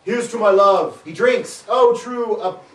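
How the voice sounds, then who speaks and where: A man's voice: sits high, a little slow, a crowd in the background. A man reading stage directions aloud in a live comedic script reading, playful, often stumbling and breaking into asides. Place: echoey room with an audience. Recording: echoey room, distant mic, audience noise.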